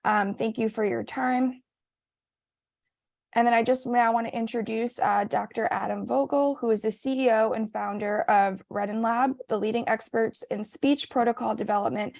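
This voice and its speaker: A woman researcher giving a measured presentation at a virtual conference. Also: a little high, fairly even intonation, creaky, a little mellow, dry recording. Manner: measured, reads prepared points.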